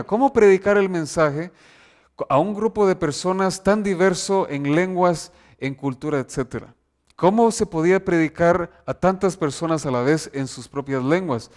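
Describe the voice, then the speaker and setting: Man, preacher, emphatic sermon delivery, somewhat high voice. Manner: emphatic, reads scripture aloud with rising stress.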